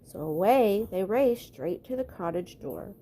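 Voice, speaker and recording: A woman reads a children's picture book aloud as a bedtime story. Her voice sits at a medium pitch. There is faint noise in the background. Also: expressive, measured pace, dark tone. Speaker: storybook reader.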